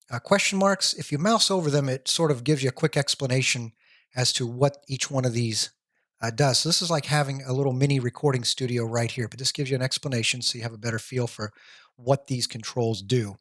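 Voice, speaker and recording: A male tech reviewer guides the listener through a software panel, one setting at a time, with the odd "uh" slipping in. His voice sits at a middle pitch and is recorded dry, right against the mic, with no room sound. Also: no echo. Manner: plain step-by-step explaining, occasional "uh" fillers. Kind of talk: software walkthrough voice-over.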